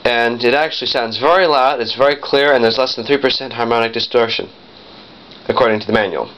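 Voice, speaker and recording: A man's voice: mid-range voice, brisk. A man giving a casual, rambling product demonstration, often correcting himself as he goes. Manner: rambling, off-the-cuff, self-correcting. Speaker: vintage audio collector demonstrating equipment. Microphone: handheld camera. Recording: dull lo-fi camera mic with noise.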